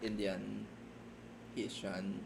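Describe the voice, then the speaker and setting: A man chatting casually on a writing livestream, rambling and self-deprecating, talking in halting starts. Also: fairly low pitch, flat delivery, gravelly, background noise. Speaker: streamer and fan fiction writer. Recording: keyboard typing audible behind voice.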